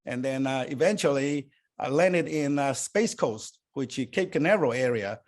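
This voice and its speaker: An older man born and raised in Taiwan, speaking English as a second language and answering interview questions in a halting way, with many fillers and restarts. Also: mid-range voice, expressive. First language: Chinese. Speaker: guest.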